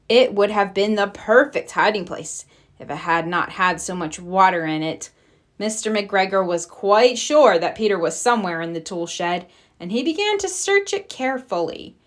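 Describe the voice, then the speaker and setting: A woman reading a children's storybook aloud as a storyteller, with expressive, deliberate phrasing. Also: medium pitch.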